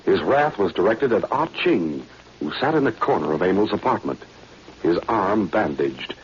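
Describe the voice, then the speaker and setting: A man's voice: sits low, very animated, normal speed. A man narrating a spy adventure in scripted, solemn 1940s radio style. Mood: dramatic, measured. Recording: dull, faintly noisy vintage radio recording.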